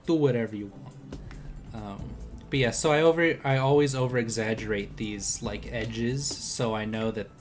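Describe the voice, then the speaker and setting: A man's voice: mid-range voice, moderate pace. Encouraging young man critiquing art, music behind. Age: young adult. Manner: encouraging, informal. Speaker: streamer, art tutor. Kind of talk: casual live art critique with voice chat. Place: indoor home desk setup.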